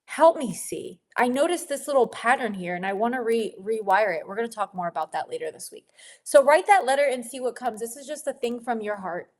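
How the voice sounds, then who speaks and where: A woman coaching a women's group on their relationship with money in a gentle, encouraging teaching talk, sniffling a little and laughing often. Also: a little high, animated, a little fast.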